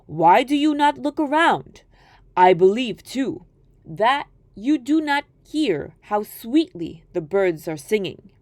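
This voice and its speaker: A woman's voice: a little high, sing-song, slow, darkish tone, dry recording. A woman voicing a crafty, hungry male wolf in a storybook, coaxing and scheming.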